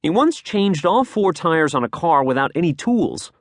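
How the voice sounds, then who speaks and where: An American man reading a nonfiction audiobook in a polished, expressive storytelling manner, close to a studio microphone. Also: on the high side, moderate pace, dry recording. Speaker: audiobook narrator. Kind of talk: scripted nonfiction audiobook narration.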